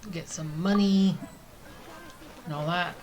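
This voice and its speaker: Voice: medium pitch, fairly even intonation, slow pace, a little mellow. A video game player giving casual commentary, hesitant, repeating words and muttering to themselves. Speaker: gaming streamer, player.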